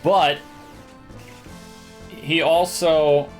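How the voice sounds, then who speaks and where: A man's voice: fairly high pitch, fairly even intonation, drawn-out words. A man giving casual video game commentary, thinking aloud and now and then humming little nonsense tunes. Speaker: video game streamer and speedrunner. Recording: game music behind the voice. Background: video game music.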